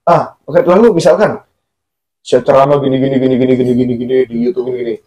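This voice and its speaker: A man's voice: medium pitch, fairly even intonation, fast talker, warm tone, dull audio. A man talking in a casual religious chat, blunt and preachy, laughing often. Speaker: content creator.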